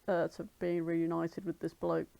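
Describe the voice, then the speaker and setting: A woman's voice: on the low side, completely flat delivery, moderate pace, creaky, mellow, no room sound. Woman in dry, casual chat. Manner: informal, sweary, British slang. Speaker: podcast co-host.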